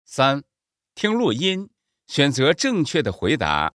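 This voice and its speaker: Slow, melodic man announcing instructions. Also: medium pitch, wildly swooping pitch, fairly bright tone, no room sound, studio quality. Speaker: exam announcer. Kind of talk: scripted test instructions.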